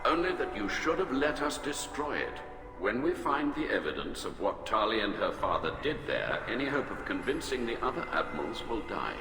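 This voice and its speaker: An actor playing a pompous, prickly middle-aged alien admiral in a video game, formal and haughty. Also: male voice, fairly low pitch, big pitch swings. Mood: stern, accusing. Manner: formal, haughty, deliberate wording. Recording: echoey hall, music behind.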